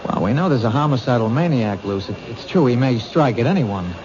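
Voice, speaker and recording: A man's voice: medium pitch, animated, fairly quick, crowd noise, natural voice. A man acting in a radio mystery as an earnest police detective, questioning in a persuasive, probing way. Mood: thoughtful. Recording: dull old radio recording, noisy background.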